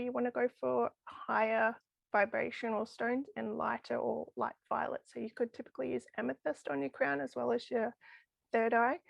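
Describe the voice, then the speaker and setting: Brief: woman guest, chatty and enthusiastic, voice going hoarse, gravelly fry, dry close sound. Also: on the high side, dry recording. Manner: enthusiastic, chatty with frequent laughs. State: losing her voice. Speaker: crystal healing guest. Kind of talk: casual podcast interview.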